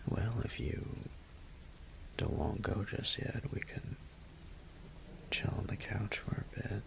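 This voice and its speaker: Man acting low, breathy, gravelly flirtatious roommate. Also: takes it slowly, whispered, full character voice. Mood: flirty, teasing.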